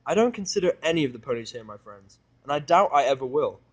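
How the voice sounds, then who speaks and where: A man's voice: mid-range voice, big pitch swings, fast. A man voicing a hostile, sarcastic human who snaps and rants angrily in a fan audio drama. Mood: furious. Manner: snappish, ranting, swearing. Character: bitter, hostile, sarcastic human male misanthrope.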